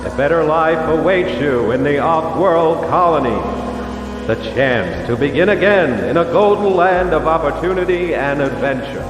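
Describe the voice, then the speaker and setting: Male actor as grand ad announcer, salesmanlike, raised pitch, long reverb, music behind, radio drama. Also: lively intonation, moderate pace, close to an everyday voice. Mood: grand, inviting. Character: upbeat male advertising announcer for off-world colonies. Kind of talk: promotional announcement. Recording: echoing public loudspeaker with long reverb.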